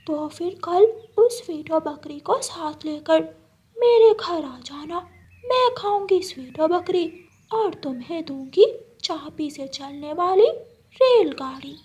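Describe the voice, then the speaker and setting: A woman voicing a sly, greedy female cartoon fox with a drawn-out, gloating manner. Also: very high-pitched, animated, darkish tone, heavily acted. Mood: bargaining. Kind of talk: cartoon story dialogue.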